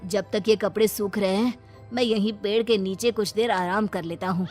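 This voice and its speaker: A woman's voice: mid-range voice, fairly even intonation, moderate pace, darkish tone, close to an everyday voice. Lady doing a worried crafty washer boy in a cartoon tale, talks to himself, music playing under it. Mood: relaxed. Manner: anxious, self-talking, exclamatory. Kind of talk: Hindi cartoon story acting.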